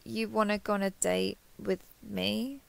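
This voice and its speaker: Woman acting as a flustered, kindhearted young woman, slightly gravelly. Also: mid-range voice, flat delivery, a little slow. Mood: earnest, awkward. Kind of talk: intimate roleplay audio drama.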